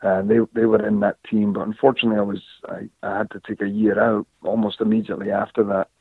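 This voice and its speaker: A man's voice: lowish voice, little pitch movement, fast talker, gravelly, breathy edge, dry recording. Man on a thin phone line, hesitant. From Scotland, raised in northern England. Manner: hesitant, many fillers and restarts. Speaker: guest, former football player. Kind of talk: casual interview, storytelling. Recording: dry phone-line audio.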